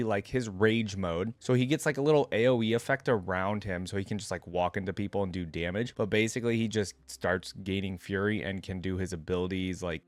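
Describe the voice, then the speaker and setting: A man's voice: fairly low pitch, no room sound. A man doing casual reaction commentary, thinking aloud with lots of filler "like" and reading game text aloud. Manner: thinks aloud, hedging with frequent "like". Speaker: reaction video host.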